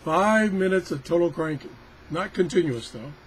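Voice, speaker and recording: A man's voice: on the high side, expressive, moderate pace, background noise. A man giving a casual hands-on product demonstration as a video reviewer, rambling and thinking aloud. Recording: weather radio chattering in the background.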